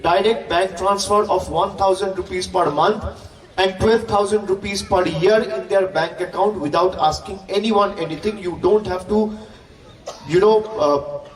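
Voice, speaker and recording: High-pitched Indian man orating through echoing speakers. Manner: emphatic, repetitive, with rhetorical questions. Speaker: political campaign speaker. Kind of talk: political stump speech at a public rally. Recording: distant, echoey, noisy public-address sound.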